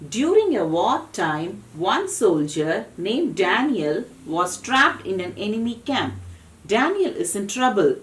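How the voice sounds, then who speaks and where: A woman narrates a children's story with a very lively, moving pitch. The room gives her voice a strong echo, with faint noise behind. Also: slow.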